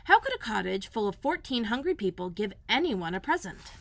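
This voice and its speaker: A woman librarian reading a children's book aloud in a gentle, clear storytelling manner. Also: medium pitch, big pitch swings, brisk. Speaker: school librarian.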